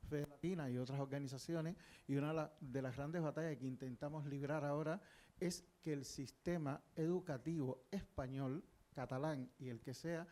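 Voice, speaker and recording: A man's voice: on the high side, moderate pace, warm tone. An older man from Cuba, speaking earnestly from the audience at a panel, with many fillers and restarts. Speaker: audience member, historian. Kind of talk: public panel discussion.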